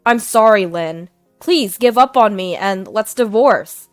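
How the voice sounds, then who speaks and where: Brief: cheating husband, young, loud and insistent, read by a woman narrator. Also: a little high, expressive, slow pace, no room sound, clean studio sound, faint music behind, pitched above the performer's own voice. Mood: defiant. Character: cheating young husband, pleading and self-righteous.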